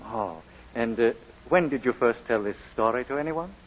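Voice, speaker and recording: An actor playing a sardonic British barrister, probing and sarcastic in cross-examination, in a 1940s radio drama. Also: male voice, mid-range voice, animated, normal speed, natural voice. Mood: sarcastic, pressing. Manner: probing, sarcastic, hesitating for effect. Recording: dull, lo-fi 1940s radio recording.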